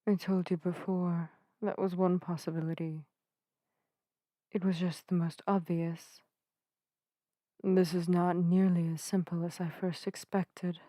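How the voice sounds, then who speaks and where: A gentle witch voiced by a woman speaks softly in a warm, relaxed tone, very close and dry on the microphone. The delivery is earnest and soothing, with quaint, formal phrasing suited to an intimate ASMR roleplay. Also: on the low side, a little slow, dry recording, close to an everyday voice. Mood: apologetic, soothing. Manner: soft-spoken, soothing, old-fashioned formal phrasing. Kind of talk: narrative ASMR roleplay addressed to the listener. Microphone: close microphone in a dry space.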